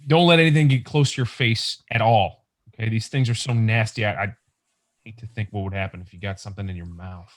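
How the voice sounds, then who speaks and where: A man's voice: lowish voice, fairly quick, lightly acted. A man playing a jittery, squeamish zombie survivor. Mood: queasy, anxious. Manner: jittery, stammering, rambling. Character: nervous, squeamish, chatty male survivor of zombie apocalypse. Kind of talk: table read of a comic book script. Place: remote video call table read.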